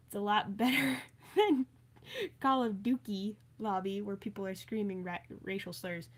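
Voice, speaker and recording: A woman's voice: mid-range voice, fairly even intonation, normal speed. A woman from Maryland chatting casually on a live stream, reading viewer comments aloud in a playful, chatty way. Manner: chatty and playful, with many fillers and asides.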